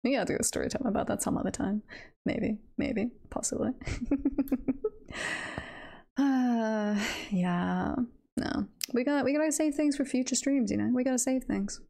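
A woman's voice: fairly high pitch, moderate pace, creaky, pauses often, mellow. A woman chatting casually on a live stream, playful and giggly, rambling and trailing off between thoughts. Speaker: streamer and voice roleplayer chatting with viewers.

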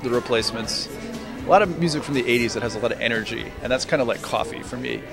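A man around forty, a painter, talking in a casual, halting and thoughtful interview way about his work. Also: medium pitch, vocal fry. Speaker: painter and art teacher. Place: busy restaurant during an art reception. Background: music.

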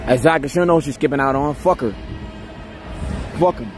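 A young American man chatting in slangy, animated banter as a live streamer out on a city street. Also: medium pitch, a little fast. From Newark, New Jersey, USA. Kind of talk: casual street banter on a livestream. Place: city sidewalk at night, outdoors. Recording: outdoor street livestream with music behind.

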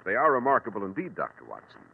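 An emphatic male radio announcer delivers a 1940s-style sales pitch. His intonation is lively, and the sound is rough and phone-like. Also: fast. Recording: tinny, rough old radio recording.

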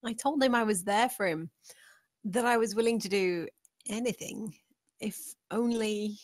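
A woman's voice: mid-range voice, unhurried, dry recording, lightly acted. Woman acting a demure, coaxing seductress, dry sound. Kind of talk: amateur screenplay table read.

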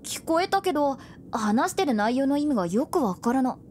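A woman playing a cheeky but caring young boy companion in a voice drama, boyish, casual and expressive. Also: high voice, moderate pace, a little hiss or hum. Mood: uneasy. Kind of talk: Japanese voice drama acting.

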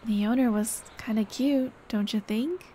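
A woman playing a tender, playful late girlfriend in an ASMR roleplay, speaking in a gentle, coaxing, teasing way. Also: on the high side, measured pace, natural voice. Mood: wistful, gentle. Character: tender, playful dead girlfriend comforting her grieving partner. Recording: clear ambient noise behind. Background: ambient sound effects.

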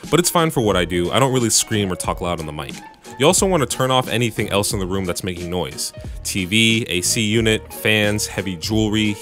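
Man, low bassy voice, laid-back tutorial host, joking asides, faint music behind. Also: fairly bright tone. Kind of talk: casual scripted tutorial narration. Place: home recording room with acoustic panels. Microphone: studio microphone through audio interface.

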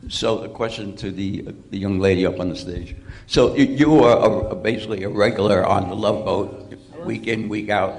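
A man's voice: lowish voice, normal speed, darkish tone. A man asking an informal question from the audience, hesitant and repeating his words as he goes. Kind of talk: halting, informal question from the floor.

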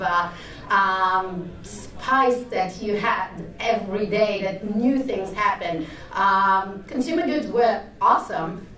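A woman's voice: fairly high pitch, a bit bright. A woman from Israel in her forties with an Israeli accent, speaking candidly as a conference panelist with a handheld mic. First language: Hebrew. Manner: candid, chatty, many hesitations. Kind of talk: panel talk. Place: conference room, with audience. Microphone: handheld mic, heard from afar. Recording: echoey room, distant mic.

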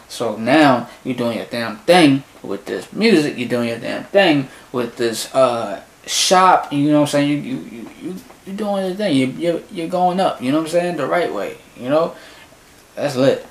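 A young man in his twenties gives animated, slangy commentary as he reacts to a rap video from his bedroom. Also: medium pitch, normal speed. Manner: animated, slangy, talks back to the song. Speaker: music reaction youtuber. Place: small bedroom in front of a closet door. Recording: echoey room.

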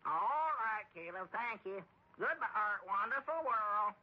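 An actor playing a muddled, gullible old storekeeper with a rural Southern American accent, in a folksy, rambling radio comedy style. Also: male voice, sits high, animated, measured pace, a little hiss or hum. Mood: folksy, friendly. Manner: rambling, folksy, easily confused. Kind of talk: 1930s-40s radio comedy acting. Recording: dull old radio recording.

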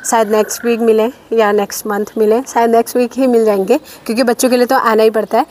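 A woman. Her voice sits a little high. She is recorded outdoors, with light ambient noise behind her. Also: level tone, fast, a little mellow. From Uttarakhand hills, India. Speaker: vlogger. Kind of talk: casual vlog chat to camera. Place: mostly outdoors, roadside and village. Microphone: phone at arm's length or clip-on lapel. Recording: faint outdoor background noise.

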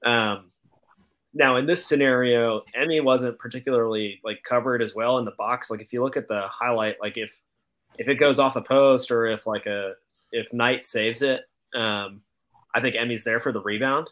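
A man's voice: medium pitch, fairly even intonation, normal speed, fairly bright tone. A man giving casual sports analysis as a panelist on a video call. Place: indoor room.

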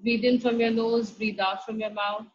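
A woman guiding a meditation, giving soft, slow instructions broken by long pauses. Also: a little high, little pitch movement, slight background noise. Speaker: meditation guide. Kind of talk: guided meditation. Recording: dull lo-fi recording with faint music. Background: soft background music.

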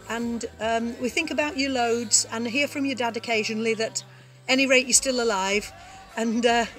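A woman's voice: high-pitched, a bit bright. An older woman in a garden recording a cheery, teasing birthday message on a phone. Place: outdoors in a garden. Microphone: phone camera.

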